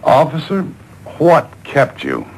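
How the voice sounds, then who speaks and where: An actor playing a cool, tough adventurer hero with a dry, wry manner in a 1940s-style radio drama. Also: male voice, fairly high pitch, wildly swooping pitch, slow pace, husky, heavily acted, rough recording. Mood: wry, relieved. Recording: home-taped old radio broadcast, faint noise.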